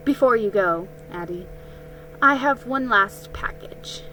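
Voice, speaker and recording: A woman voicing a kindly, practical woman shopkeeper in a children's story, gently giving instructions. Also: mid-range voice, animated, takes it slowly, a little hiss or hum, low-quality recording. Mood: kindly, generous.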